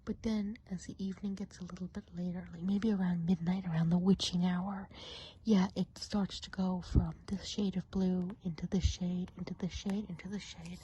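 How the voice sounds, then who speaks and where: A woman playing a friendly, gossipy witch of the woods in a soft, breathy ASMR roleplay, often whispering and musing gently. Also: on the low side, little pitch movement. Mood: musing, chatty. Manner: chatty, gentle, unhurried musing.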